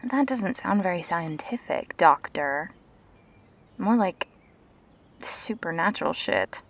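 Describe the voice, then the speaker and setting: A woman plays an uneasy young woman in a science fiction audio drama. She speaks slowly and informally, with a husky, creaky voice and a hesitant, sceptical manner. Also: on the low side, expressive, heavily acted.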